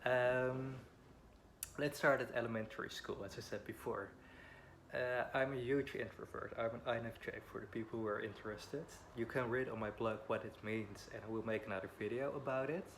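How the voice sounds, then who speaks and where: A man's voice: mid-range voice, fairly even intonation, fairly quick. A man in his twenties from the Netherlands, speaking English with a Dutch accent, Dutch being his first language. He tells a personal story at home as a vlogger, a bit nervous, hesitant and apologetic with frequent ums. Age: 20s. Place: home beside a busy road. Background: faint wind and passing traffic.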